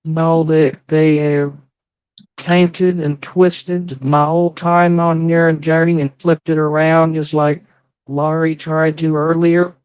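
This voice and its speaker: A man's voice: fairly high pitch, level tone, takes it slowly, a little mellow, clear, resonant tone, no room sound, poor audio. A man in a casual livestream chat, hosting and speaking in a profane, aggrieved, defensive way. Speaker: livestream host.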